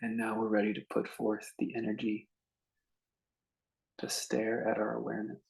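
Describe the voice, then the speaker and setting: A man leading a guided meditation as a teacher, speaking in a contemplative, unhurried way with long pauses between short phrases. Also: lowish voice, monotone, vocal fry, a little mellow, low-quality recording.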